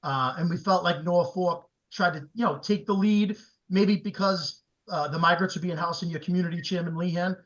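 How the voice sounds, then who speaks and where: A man speaking as a town board member at a public meeting, earnest, with many pauses and fillers. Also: high-pitched, fairly bright tone, lo-fi sound.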